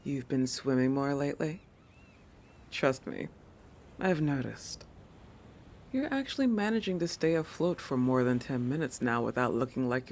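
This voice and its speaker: A woman plays a proud young shark girl who guards her human jealously in an intimate roleplay audio. Her delivery is slow and teasing, with a creaky, fry-laden edge and flustered self-corrections. A faint hiss and rumble sit behind the voice. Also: darkish tone, full character voice. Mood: haughty. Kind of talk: roleplay audio monologue addressed to the listener.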